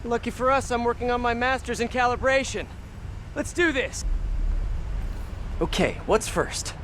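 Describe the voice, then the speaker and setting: A man's voice: extremely high pitch, expressive, moderate pace, slight background noise. An American man playing an eager, wisecracking, good-hearted young superhero in a video game, boyish and rambling in his delivery. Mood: casual, quipping. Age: young adult. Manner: boyish, joking, rambling to himself. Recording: voice under loud game music.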